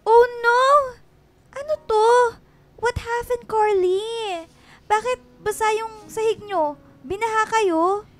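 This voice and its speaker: High-pitched, cheeky young Filipina friend. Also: female voice, steady tone, slow. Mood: bubbly, curious. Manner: playful, exclamatory, code-switching into English. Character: cheeky, chatty young woman, a teasing family friend. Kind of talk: Filipino radio drama acting.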